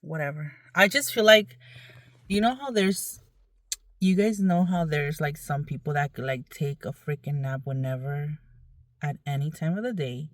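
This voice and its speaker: A woman's voice: sits low, moderate pace, no echo. Woman chatting casually, very dry sound. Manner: rambling, candid, conversational. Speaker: vlogger. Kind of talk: casual chat to viewers.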